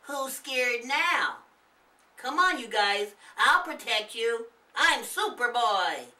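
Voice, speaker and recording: A cocky little boy from a Halloween story, voiced by a man, pitched very high and bright. He teases with giggling bravado, loud and bossy, in a roomy, distant recording. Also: expressive, slow, heavily acted. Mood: cocky, boastful. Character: bold, teasing young boy, cocky trick-or-treater.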